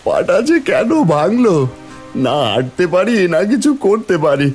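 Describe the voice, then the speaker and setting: A man voicing a fretful, injured poor village husband in a cartoon, complaining expressively yet soft-hearted. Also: high-pitched, big pitch swings, moderate pace, faint music behind. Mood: dismayed. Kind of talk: Bengali fairy-tale cartoon voice acting.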